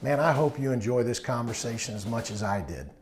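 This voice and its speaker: A man's voice: medium pitch, animated, brisk, background noise. A man hosting a podcast, reading a scripted intro and then asking questions in a loose, rambling way. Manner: rambling, many restarts and fillers when asking questions. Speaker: podcast host. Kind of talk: scripted intro narration and casual interview.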